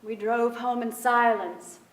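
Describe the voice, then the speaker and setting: An actress playing a reflective narrator of family memories, reading in a theatrical literary style. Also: female voice, on the high side, fairly even intonation, takes it slowly, distant mic. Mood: wistful. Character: reflective narrator recalling an older sister. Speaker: stage actor in a staged reading. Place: theatre stage. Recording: echoey theatre, picked up from afar.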